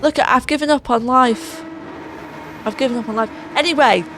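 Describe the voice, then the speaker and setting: A woman's voice: high voice, normal speed, a bit bright, slight background noise. A woman giving sarcastic, exasperated voice-over commentary on a racing game while losing her voice. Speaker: gaming channel host. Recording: voice over clear background music.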